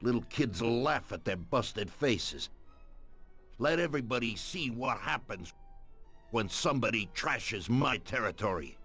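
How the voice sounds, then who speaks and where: A man playing a commanding middle-aged mob boss in a video game, measured, authoritative and menacing. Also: mid-range voice, lively intonation, pushed and bright, breathy edge, soft background music, full character voice. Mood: cold menace. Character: commanding middle-aged male mafia don, coldly menacing.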